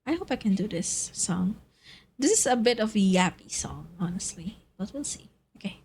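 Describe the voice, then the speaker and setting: A woman's voice: medium pitch, lively intonation, normal speed, darkish tone, a little hiss or hum. A young woman chatting on a karaoke stream, giggly and unsure of herself between songs. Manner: chatty, giggly and self-doubting. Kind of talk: casual karaoke stream chat. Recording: added reverb with music behind.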